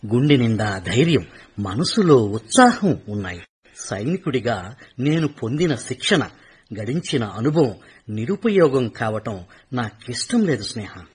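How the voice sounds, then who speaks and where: A restless retired army havildar. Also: male voice, measured pace, husky, full character voice. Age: middle-aged. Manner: earnest, wistful, with animated pitch. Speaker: soldier. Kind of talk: radio play acting.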